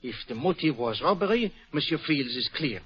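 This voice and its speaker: A man's voice: fairly high pitch, expressive, moderate pace, natural voice. An actor playing a fussy, French-accented male detective in a 1940s radio drama, speaking with precise, musing politeness. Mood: poised. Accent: French-accented English. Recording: dull old radio broadcast.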